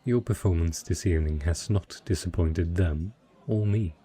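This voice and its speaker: Suave fantasy merchant prince played by a man, deep and breathy-rough, mellow, formal, seductive, talking intimately to one listener. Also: moderate pace, faint music behind. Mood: courteous, intrigued. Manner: courtly, formal, smooth-talking flirtation. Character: wealthy male merchant patron, secretly a dragon, suave and possessive. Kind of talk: romantic fantasy audio roleplay addressed to the listener.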